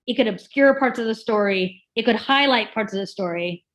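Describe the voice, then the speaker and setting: A woman moderating a panel, asking questions conversationally and in a measured way, repeating phrases for emphasis. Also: fairly high pitch. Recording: dull, lo-fi audio.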